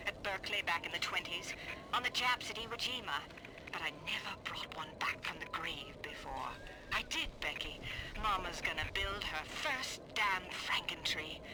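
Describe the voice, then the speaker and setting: A woman's voice: fairly low pitch, animated, moderate pace, natural voice. An actress playing a brash middle-aged woman scientist in a game audio diary, wry, earthy and boastful. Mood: wry triumph. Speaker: botanist recording audio diaries. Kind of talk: dramatic audio diary monologue in a video game. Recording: noisy old radio-like audio diary.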